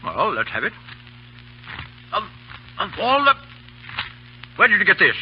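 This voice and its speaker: An actor in an old radio drama playing a stern, middle-aged British colonel, aloof and clipped in manner. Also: male voice, high-pitched, wildly swooping pitch, a little slow, a little hiss or hum, full character voice. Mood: gruff, awkward. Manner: clipped, gruff, commanding. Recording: muffled old radio broadcast.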